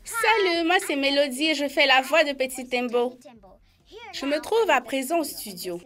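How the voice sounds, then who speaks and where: A woman's voice: sits high, animated, moderate pace, a bit bright, faint music behind. A woman voice actress speaking to young viewers at a studio microphone, introducing herself. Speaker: cartoon voice actress. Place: recording studio.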